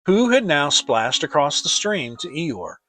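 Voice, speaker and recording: A man giving an expressive, deliberate dramatic narration of a children's audiobook. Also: a little high, soft background music. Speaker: storyteller and channel host.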